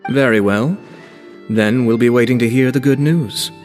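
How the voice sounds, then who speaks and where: A man's voice: mid-range voice, normal speed, a little mellow, close to an everyday voice. An actor playing a composed, courteous male companion in a video game. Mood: calm, courteous. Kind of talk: English video game voice acting. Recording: echoing reverb, faint music behind.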